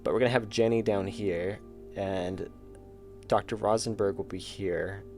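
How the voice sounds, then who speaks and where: Low-voiced man losing his voice. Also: steady tone, unhurried, darkish tone, soft background music. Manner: rambling, thinks aloud, frequent restarts and chuckles. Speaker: streamer and indie game developer. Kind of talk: casual live-stream chat while drawing.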